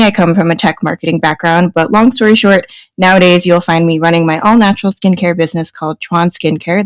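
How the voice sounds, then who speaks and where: Lower-voiced woman podcast co-host. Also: no echo, poor audio. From New Jersey, US. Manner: friendly, reads intro script then chats with fillers. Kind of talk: casual podcast chat.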